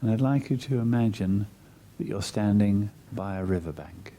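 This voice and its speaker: A man leading a guided meditation in a soft, breathy, soothing and measured way, leaving long pauses between phrases. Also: lowish voice.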